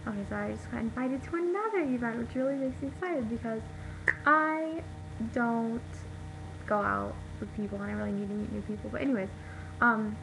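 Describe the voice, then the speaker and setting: A young woman vlogs her opinions in a chatty, frank way, with plenty of fillers and restarts. Her voice has a steady gravelly fry. The home recording carries a clear hiss beneath her. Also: a little high, a little fast. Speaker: vlogger. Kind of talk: casual opinion monologue to camera.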